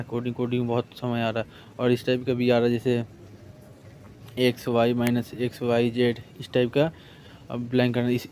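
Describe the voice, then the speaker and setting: A man's voice: mid-range voice, darkish tone. A man giving an informal exam review lesson, explaining in a colloquial, repetitive way as a coaching teacher. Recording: clear background noise.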